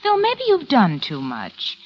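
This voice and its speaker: A devoted, anxious young woman pleads softly and stumbles over her words. Her voice is fairly high and moves a lot. It sounds like a 1940s radio drama. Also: huge pitch swings, measured pace, exaggerated voice, rough recording. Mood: pleading. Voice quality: soft, pleading, stammering. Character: adoring, anxious young woman, the novelist's girlfriend. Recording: old radio broadcast.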